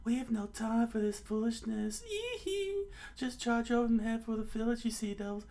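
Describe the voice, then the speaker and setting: Woman doing a male pirate hypnotist, very high-pitched, theatrical swoops, commanding, impatient, storybook villain. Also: very animated, moderate pace, mellow. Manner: commanding, with odd hooting exclamations.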